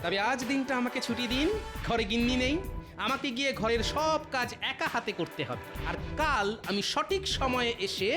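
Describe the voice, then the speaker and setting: Woman voicing a clever, high-pitched cartoon jester man. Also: lively intonation, moderate pace, natural voice. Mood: sly, confident. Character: clever, bold male court jester. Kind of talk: Bengali comedy cartoon dubbing. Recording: music behind the voice. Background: background music.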